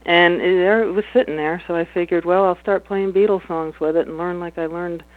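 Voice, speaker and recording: A woman with a slightly low speaking voice, a musician chatting casually about her music in an interview, relaxed and laughing easily. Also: fairly quick, no echo. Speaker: musician guest. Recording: tinny phone line.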